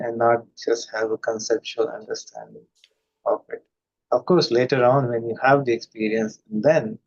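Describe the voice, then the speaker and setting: A man from India, a guest, talking thoughtfully and reflectively with many pauses and fillers. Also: medium pitch, a little mellow, lo-fi sound. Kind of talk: reflective conversation.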